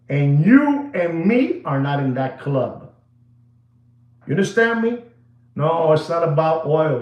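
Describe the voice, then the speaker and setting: Rambling New man in his 60s. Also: a little high. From New York, USA. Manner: animated and rambling, mixes in Spanish phrases, addresses viewers as "papi". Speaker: watch dealer, show host. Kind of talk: casual rant to camera. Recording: echoey room.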